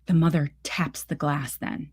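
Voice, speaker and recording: Female story reader, lower-pitched voice, measured and deliberate, literary narration. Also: fairly even intonation, a little mellow, husky.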